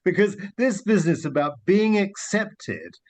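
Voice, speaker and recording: A man's voice: high voice, sing-song, a little slow, a little mellow. A man chairing a panel discussion, putting questions to the speakers in a polite, inquiring way.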